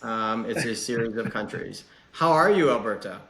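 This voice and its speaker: A man's voice: medium pitch, animated, normal speed, a bit bright. A middle-aged American man from Chicago chatting casually as a podcast host, with a joking manner. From Chicago, United States. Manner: joking, self-deprecating. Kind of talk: casual chat. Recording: echoey room.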